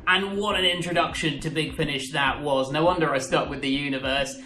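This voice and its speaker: A man's voice: on the high side, steady tone, fairly quick, pushed and bright, roomy sound, poor audio. A man speaking enthusiastically in a monologue, with emphatic stress on his adjectives. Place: indoor room at home.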